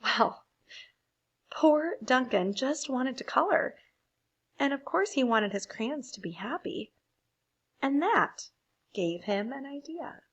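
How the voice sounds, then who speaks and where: Woman reading a kids book out loud, highish voice, real slow. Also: expressive, breathy edge, muffled recording. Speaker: storyteller. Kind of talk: picture book read aloud.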